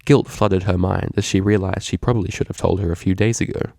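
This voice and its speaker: A man's voice: low-pitched, steady tone, a little fast, creaky, a little mellow, no echo, studio quality. A man reading a story aloud as a scripted narration. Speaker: fan fiction reader.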